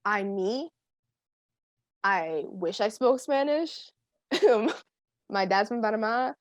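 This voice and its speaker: A woman's voice: mid-range voice, animated, slow, dry recording, studio quality. A teenage girl, a guest, chatting casually with many fillers and laughs. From United States. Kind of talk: casual chat.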